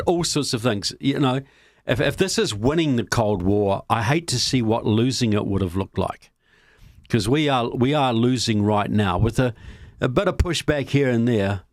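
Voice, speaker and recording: A man's voice: medium pitch, no room sound, clean studio sound. A man with a New Zealand accent, a guest on a podcast interview, explaining his views in an earnest, emphatic way.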